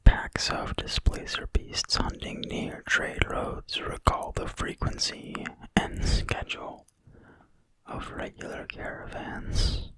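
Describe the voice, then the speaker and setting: A person reading in a quiet, breathy whisper, soft and deliberate, as in an ASMR reading of a fantasy book. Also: poor audio. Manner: slow, soft, unhurried, deliberate. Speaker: ASMR video creator and reader.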